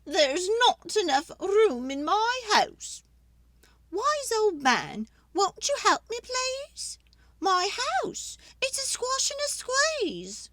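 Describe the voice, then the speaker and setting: A woman's voice: very high-pitched, huge pitch swings, slow pace, a bit bright, no echo, voice pushed up, full character voice. A woman voicing a dramatic, exasperated little old lady in a children's storybook, with a theatrical, pleading manner. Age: older. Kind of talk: children's storybook read-aloud.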